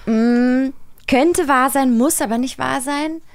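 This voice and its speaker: A woman's voice: high voice, takes it slowly, smooth, dry recording. A woman chatting casually and teasingly while moderating a quiz game.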